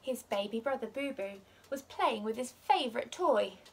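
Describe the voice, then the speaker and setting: A young woman reading a children's picture book aloud like a teacher, expressive and measured. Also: a little high, slight background noise. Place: living room by a fireplace.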